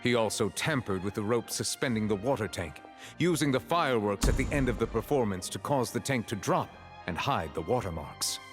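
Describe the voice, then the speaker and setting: A man voicing a composed, stern chief justice in a video game, formal, measured and authoritative. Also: fairly low pitch, lively intonation, faint music behind, close to an everyday voice. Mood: calm, measured.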